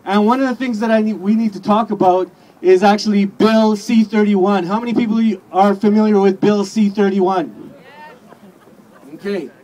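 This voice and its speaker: A man's voice: high voice, fairly even intonation, moderate pace, darkish tone, poor audio. A man emceeing an outdoor rally, leading the crowd in chants and introducing a speaker. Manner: rousing, leads crowd chants. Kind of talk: outdoor rally call-and-response.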